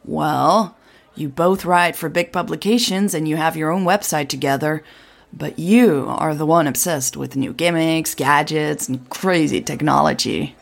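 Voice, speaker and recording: A woman voicing an eager, persuasive male marketing contact in an audio drama, with a husky, creaky voice. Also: deep voice, a little slow, exaggerated voice. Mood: beaming.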